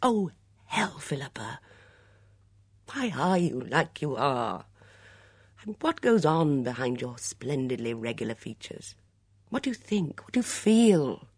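A woman's voice: very deep voice, big pitch swings, measured pace, long pauses, a little mellow, breathy edge, dry recording, studio quality, exaggerated voice. A woman voicing a glib, teasing young man in love, with flowery, mock-formal speech in an audiobook story. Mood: exasperated, pleading.

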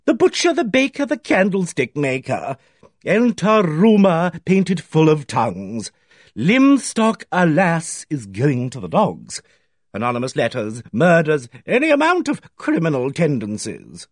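A man reading aloud, voicing an effusive, fussy older male village gossip who talks in a theatrical, drawn-out way. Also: a little high, wildly swooping pitch, no room sound, studio quality, voice pushed up, full character voice. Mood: gushing.

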